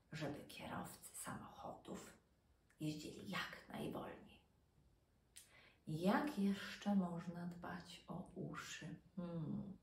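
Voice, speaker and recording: A woman in her thirties speaks to young children in a lowish, very lively voice. She teaches like a storyteller, posing questions and pausing for effect. The room is audible around her, with some noise behind. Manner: child-directed, expressive, asking rhetorical questions with dramatic pauses. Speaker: doctor and children's storyteller presenting health tips. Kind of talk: educational talk addressed to young children. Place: small furnished room indoors. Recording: roomy, distant mic with background noise.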